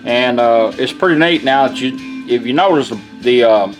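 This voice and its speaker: A man's voice: medium pitch, normal speed, faint music behind. A man giving a casual, folksy product demonstration, explaining things step by step in an informal way. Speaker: radio restorer demonstrating a product.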